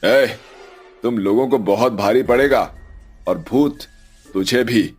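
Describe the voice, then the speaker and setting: A man's voice: mid-range voice, slow, slightly rough, soft background music. A man playing a corrupt rival politician in a cartoon story, scornful and taunting. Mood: threatening. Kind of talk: Hindi cartoon story dialogue.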